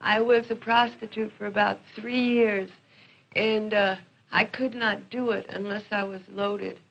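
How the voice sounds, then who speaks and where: A woman's voice: mid-range voice, steady tone, measured pace, vocal fry, a bit bright, dull audio. A woman speaking candidly and casually as a former addict to students, slangy and frank, on a 1970s film soundtrack. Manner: frank, slangy, checks listeners understand. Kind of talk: candid, casual personal testimony.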